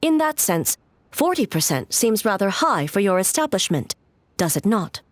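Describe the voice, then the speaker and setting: A woman voicing a calm, authoritative and stern ruler in a video game, speaking in a formal, measured, composed way. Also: medium pitch, dry recording, lightly acted. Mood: firm, composed.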